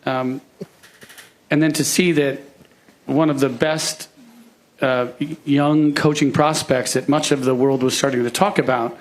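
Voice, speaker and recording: A man, speaking at a press conference and pausing often with fillers. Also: medium pitch, fairly even intonation. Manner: halting, with many fillers. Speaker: club owner at a press conference.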